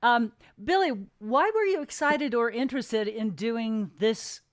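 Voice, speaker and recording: A woman hosting a casual interview, putting questions and comments in an informal, halting way. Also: fairly high pitch.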